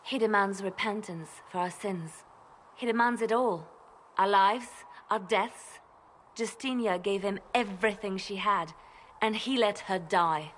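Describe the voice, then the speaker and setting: A woman's voice: medium pitch, unhurried, a bit bright, slight background noise, natural voice. A woman playing a devout woman in bitter grief, intense and brooding, in a video game cutscene. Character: bitter, grieving devout woman questioning her faith.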